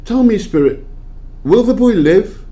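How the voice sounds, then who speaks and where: A man's voice: sits high, expressive, a little slow, dark tone. A man playing an anxious miser who earnestly questions a spirit in an audio play.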